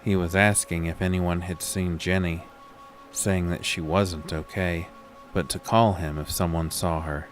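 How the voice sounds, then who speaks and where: A man's voice: sits low, fairly even intonation, measured pace, faint music behind. A man playing a haunted, weary and uneasy narrator in a scripted horror audio drama told in the first person.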